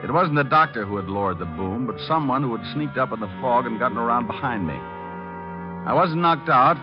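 An actor playing a suave, wisecracking private detective in a 1950s radio drama, in a wry, unflappable manner. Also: male voice, mid-range voice, expressive, natural voice. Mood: wry narration. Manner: wry, quick-witted, unflappable. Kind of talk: 1950s radio drama acting, hard-boiled narration. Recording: muffled vintage radio recording with music.

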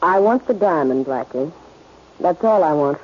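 A woman's voice: low-pitched, animated, normal speed, husky. An actress playing a stubborn, scheming female crime boss, curt and defiant, in 1940s radio style. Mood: cold, accusing. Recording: muffled old-time radio recording.

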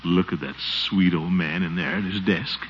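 An actor playing a pompous, excitable middle-aged small-town commissioner in 1940s radio comedy style, given to blustering and muttered asides. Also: male voice, low voice, normal speed. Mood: musing, sorrowful. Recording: dull, noisy 1940s radio recording.

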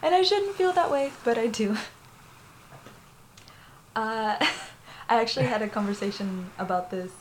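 A woman's voice: fairly high pitch, measured pace, long pauses, a little hiss or hum, low-quality recording. A young woman from Florida with a Puerto Rican family, telling a personal story in a candid, rambling way, full of fillers and laughs. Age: young adult. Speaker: interviewee.

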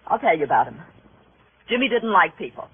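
An actress playing a bitter, tough widow in a 1950s radio crime drama, sardonic and defensive, with an American accent. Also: female voice, lowish voice, big pitch swings, a little fast, slight background noise. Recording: muffled, narrow vintage radio sound.

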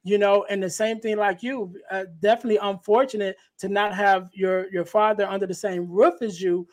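A man hosting a podcast, with a soft, light voice, reflecting on and affirming his guest's words. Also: high voice, fairly even intonation, brisk. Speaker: podcast host. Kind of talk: casual podcast interview.

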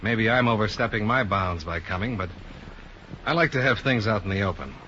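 A man's voice: lowish voice, a little fast, bright, forceful tone. A man playing a blunt, cool-headed insurance investigator in a 1950s radio detective drama, with dry, clipped delivery. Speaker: lead actor. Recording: dull, noisy 1950s radio recording.

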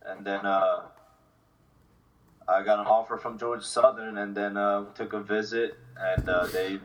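Distant-sounding young man, lowish voice. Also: level tone, unhurried, slight background noise. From South Korea, then New Jersey from sixth grade. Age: 20s. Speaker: interview guest, football kicker. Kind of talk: casual interview answers. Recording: voice distant from the mic.

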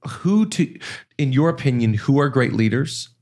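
Pastor guy hosting a podcast, mid-pitched voice, keeps restarting his sentences, hyped and encouraging. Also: animated, moderate pace, darkish tone. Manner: enthusiastic, affirming, stammering restarts. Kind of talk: casual podcast interview.

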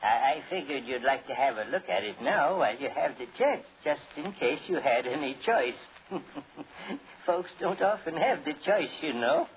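A man's voice: fairly high pitch, lively intonation, normal speed, close to an everyday voice. An actor playing a chuckling, eerily polite old coffin salesman in a 1940s radio horror play. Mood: folksy, insistent. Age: older. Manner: folksy, courteous, chuckling. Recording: muffled, noisy 1940s radio recording.

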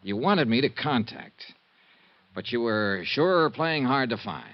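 A man's voice: mid-range voice, animated, unhurried, no room sound, natural voice. An actor playing a wry, hard-boiled male insurance investigator who also narrates, in a 1950s radio drama. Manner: dry, wisecracking. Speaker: lead and narrator. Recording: dull old radio broadcast.

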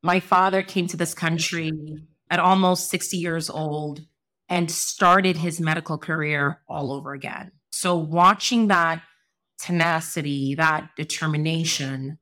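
Woman guest, middle-aged, from Sierra Leone; low-ish voice, measured and earnest delivery. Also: a bit bright. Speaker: guest, lawyer and entrepreneur. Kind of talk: podcast interview.

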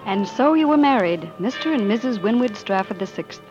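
An American actress playing a proud, plain-spoken young working-class bride, tender yet defiant, in 1940s radio drama style. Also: female voice, a little high, expressive, fairly quick, loud music behind the voice, natural voice. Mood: bittersweet reflection. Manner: emotional, plain-spoken, emphatic. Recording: muffled 1940s radio broadcast.

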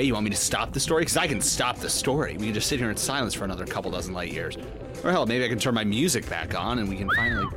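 A man's voice: lowish voice, lightly acted. An actor playing a prickly, sarcastic, jittery male detective in a noir audio drama. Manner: sarcastic, grumbling, flustered.

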